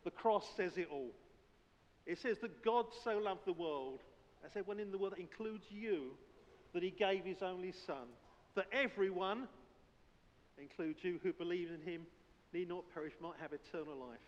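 A man's voice: high-pitched, normal speed. A man, a church elder, praying and preaching earnestly in short emphatic phrases during a service. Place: indoor church service, speaking from the platform. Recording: muffled, long reverb of a church hall.

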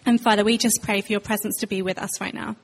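A woman leads a spoken prayer in church with a steady, even cadence. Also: medium pitch, fast talker, slight background noise. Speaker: prayer leader.

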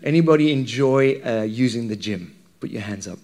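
A man's voice: mid-range voice, normal speed, a little mellow. A man with a Zimbabwean accent preaching a sermon to a congregation in a conversational, questioning manner. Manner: conversational, engages listeners with questions and anecdotes.

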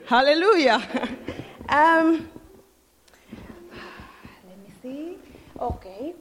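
A woman's voice: high-pitched, animated, very slow. A woman from the Dominican Republic with a Spanish accent, giving a joyful church testimony that she keeps breaking with hallelujahs. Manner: joyful, laughing, repeating hallelujah. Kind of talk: church testimony and short sermon. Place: church hall. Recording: long hall reverb.

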